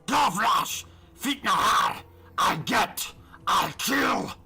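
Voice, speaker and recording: Storybook monster soldier: strained high bark, gravelly, frenzied broken speech. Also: male voice, sing-song, drawn-out words, faint music behind, exaggerated voice. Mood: savage, frenzied. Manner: shouted single words, guttural. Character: savage hulking alien soldier, barking broken speech.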